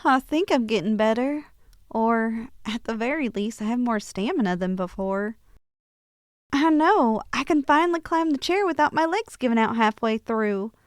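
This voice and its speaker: A woman acting a sweet, bashful young woman in an ASMR roleplay, speaking softly and hesitantly with affection. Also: fairly high pitch, lively intonation, moderate pace, clear, resonant tone, no room sound, studio quality, lightly acted. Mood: tired, grateful. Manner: soft, hesitant, affectionate, sighing. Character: sweet, bashful young woman shrunk tiny, tenderly confessing feelings.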